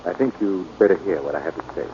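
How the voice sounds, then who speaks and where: An actor playing a meek, anxious older American man with a hesitant, halting manner, in 1940s radio drama style. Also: deep voice, warm tone, close to an everyday voice. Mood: hesitant, confessing. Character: meek, anxious older stepfather making a false confession. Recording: muffled, noisy 1940s radio recording.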